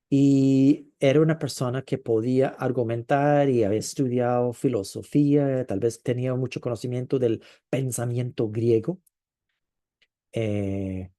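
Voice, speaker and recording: Male preacher, warm dark tone, reflective delivery with pauses and drawn-out emphasis. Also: mid-range voice. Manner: thoughtful, with frequent hesitations and drawn-out stresses. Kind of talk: sermon.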